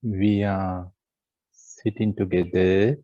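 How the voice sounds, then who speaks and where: A man guiding a meditation as a teacher, speaking in short, measured phrases with long pauses between them. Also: low-pitched, level tone, warm tone, dry recording, dull audio. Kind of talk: guided meditation instruction.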